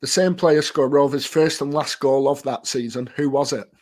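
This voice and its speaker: A man's voice: medium pitch, fairly even intonation, normal speed, a little mellow. A man co-hosting a casual podcast, giving brief quiz answers and asking questions. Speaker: podcast co-host.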